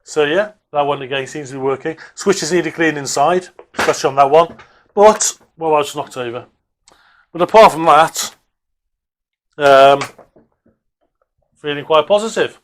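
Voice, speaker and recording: A man's voice: on the high side, moderate pace, long pauses. A man presenting a hobby video, giving casual, unscripted workbench commentary in a hesitant way, as if thinking aloud.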